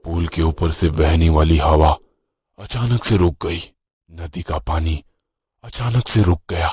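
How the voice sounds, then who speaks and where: A man's voice: low-pitched, steady tone, slow pace, darkish tone, breathy edge, no room sound. A man from Maharashtra, India, reading a scripted first-person horror story as its narrator.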